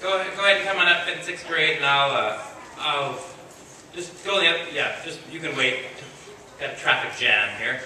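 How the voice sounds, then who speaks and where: A man giving an informal, halting thank-you speech to an audience as a visiting drama teacher. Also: a little high, bright, forceful tone. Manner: halting, repeating words. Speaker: visiting artist leading school drama residencies. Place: school stage before an audience. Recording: echoey hall, distant mic, audience noise.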